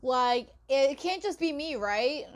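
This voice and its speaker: A woman's voice: high voice, lively intonation, slow. A woman giving casual reaction commentary as a video host, informal and full of exclamations and apologetic asides.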